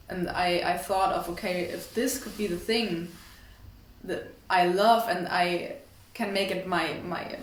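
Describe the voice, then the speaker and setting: A woman being interviewed as an actress, speaking casually and thoughtfully with some hesitation. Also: lowish voice. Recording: distant mic, echoey noisy room.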